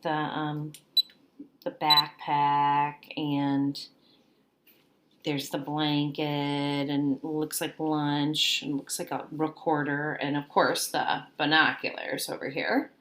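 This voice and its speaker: A woman teacher reading a picture book aloud to children, expressive and coaxing, pausing to put questions to her young listeners. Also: sits low, background noise.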